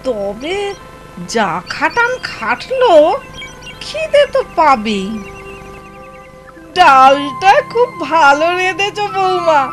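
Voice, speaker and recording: A woman's voice: very high voice, big pitch swings, heavily acted. A woman playing a suspicious, fretful old village mother-in-law in a cartoon, exclaiming and muttering. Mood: wondering, suspicious. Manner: wondering, exclamatory, drawn-out muttering. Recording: music behind the voice.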